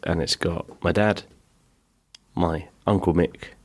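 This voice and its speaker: A man's voice: sits low, steady tone, takes it slowly. A middle-aged man hosting a podcast, chatting casually and rambling with self-deprecating humor from his small backyard pub. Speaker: podcast host. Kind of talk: casual chat. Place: small home-built pub in a garden outbuilding.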